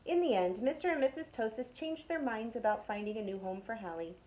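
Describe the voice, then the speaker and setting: A young adult woman from a youth club, reading a picture book aloud to children with expressive delivery that drops to a whisper for suspense. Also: on the high side, brisk, low-quality recording.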